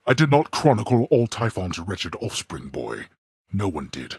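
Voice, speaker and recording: A proud, cold god of the underworld speaks in a deep, heavily husky voice for a video game. He lectures in stiff, formal sentences and cuts others off with clipped, brusque replies. His pitch rises and falls widely, and the studio recording is close and completely dry. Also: big pitch swings, moderate pace, no echo, exaggerated voice. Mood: stern. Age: older. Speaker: game character.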